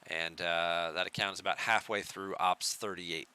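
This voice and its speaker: A soft-spoken man streams a game from his home setup and chats easily with viewers. His voice sits a little low in pitch but comes across very bright and forward. He talks in a relaxed, chatty way. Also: normal speed, smooth. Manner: relaxed, chatty, answers chat questions. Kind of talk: casual live-stream chat with viewers.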